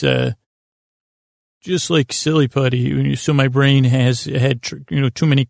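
A man with a creaky voice, hosting a podcast as a rambling, halting bedtime monologue that trails off and restarts. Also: medium pitch, darkish tone, no echo, studio quality. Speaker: podcast host.

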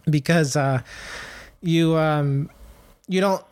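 A man's voice: fairly high pitch, takes it slowly, a little mellow. A man in his late thirties co-hosting a casual podcast, rambling with lots of fillers and restarts. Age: 30s. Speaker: podcast co-host.

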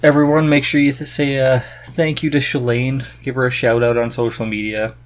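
A man's voice: mid-range voice, level tone, moderate pace. A man hosting a podcast in a casual, conversational style. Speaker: podcast host.

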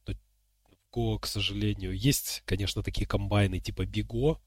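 A man's voice: on the low side, fairly even intonation, moderate pace, dry recording, clean studio sound. A man co-hosting a podcast, explaining technical matters in a casual conversational way. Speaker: podcast co-host. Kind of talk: casual explanatory tech discussion.

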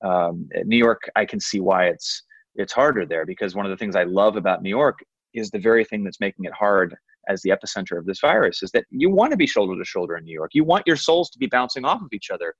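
A man with a big baritone voice chatting as a podcast guest, telling rambling, self-deprecating stories with many restarts. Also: animated, fast talker, slightly rough, dry recording, muffled recording. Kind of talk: casual podcast chat.

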